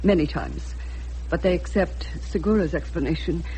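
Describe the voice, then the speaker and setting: An actress playing an anxious middle-aged mother of a missing man in a radio drama, urgent and halting. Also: on the low side, expressive, mellow, natural voice. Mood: anxious, pleading. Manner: urgent, halting, stammering with fear. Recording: noisy radio drama recording with hum.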